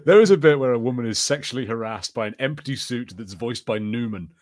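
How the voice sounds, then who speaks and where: A man's voice: medium pitch, fairly quick. Enthusiastic man chatting as podcast co-host. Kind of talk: casual watch-party commentary.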